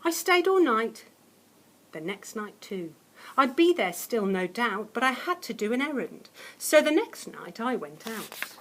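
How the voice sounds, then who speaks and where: A woman reads a picture book aloud to children in a high voice that swoops expressively from line to line. She takes her time, with slow, dramatic phrasing that moves between hushed suspense and stronger emphasis. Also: very animated, slight background noise, rough recording. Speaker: primary school teacher reading a story to children.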